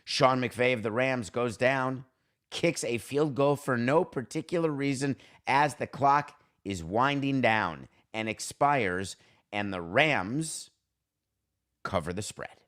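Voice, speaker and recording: A man's voice: medium pitch, slow, a bit bright. A man hosting a solo sports talk show, giving opinionated commentary in an emphatic, sardonic way with rhetorical questions. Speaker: sports talk podcast host.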